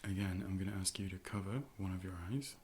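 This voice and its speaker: A man in a soft, breathy ASMR role play as an optician, speaking calmly and often slipping into whispers, gently instructing and reassuring up close to the microphone. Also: low-pitched, fast talker, slight background noise.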